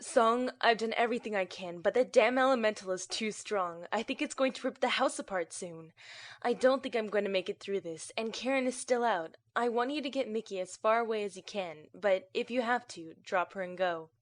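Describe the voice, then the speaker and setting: A woman's voice: medium pitch, fairly quick, lightly acted. Woman as a blunt, commanding team leader. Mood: grim, urgent. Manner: blunt, urgent, authoritative. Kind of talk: audio drama military acting.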